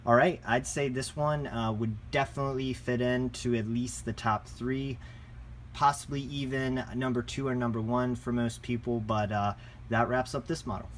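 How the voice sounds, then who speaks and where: A man's voice: medium pitch, fairly even intonation, normal speed, slight background noise. A man giving a casual, unscripted product review as a shop presenter, in a conversational, enthusiast way.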